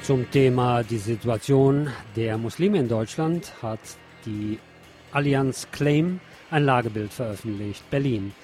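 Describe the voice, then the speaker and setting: A man's voice: mid-range voice, measured pace, over music. A man reading scripted news and press reports as a radio presenter.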